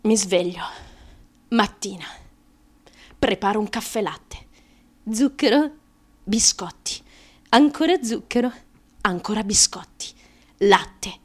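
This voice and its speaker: A woman's voice: mid-range voice, husky. Woman acting obsessive girl monologue, clipped little bursts, feverish and driven, stage style. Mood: grim, compulsive. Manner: clipped listing in short bursts. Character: obsessive, driven daughter feverishly chanting her binge-eating. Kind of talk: theatrical radio monologue.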